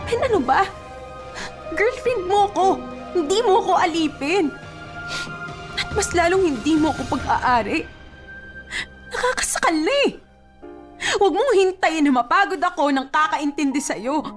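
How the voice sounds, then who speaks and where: A young Filipina girlfriend in a radio drama. Her voice is high and emphatic, with the emotional weight of someone stuck with a controlling partner. Music plays clearly behind her. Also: expressive, measured pace, long pauses. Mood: exasperated, pleading. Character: fed-up young girlfriend standing up to controlling boyfriend. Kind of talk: Tagalog radio drama acting.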